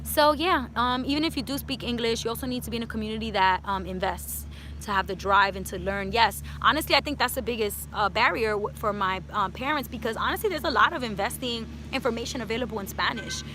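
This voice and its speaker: Bright chatty New woman outdoors. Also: fairly high pitch, fast, slight background noise. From New York City. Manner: chatty, drops Spanish words. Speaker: personal finance YouTuber. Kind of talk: casual Q&A vlog. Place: outdoors in hot sun.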